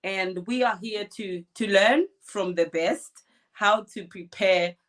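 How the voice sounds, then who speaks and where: A woman's voice: medium pitch, slow pace, a bit bright. A woman co-hosting a live online talk show over a video call, cheerful and encouraging toward her audience and guest. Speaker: co-host.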